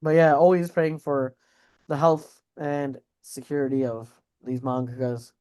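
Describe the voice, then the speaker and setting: A man's voice: mid-range voice, little pitch movement, a little slow, a little mellow, smooth. A man co-hosting a podcast, reacting to news in a casual, slangy way with mild swearing. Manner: slangy, informal, swears mildly. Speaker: podcast co-host.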